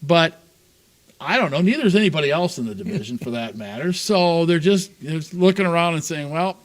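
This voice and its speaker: A man's voice: fairly high pitch, animated, brisk. A man co-hosting a sports talk show, chatting loosely and laughing between points. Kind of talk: casual sports chat.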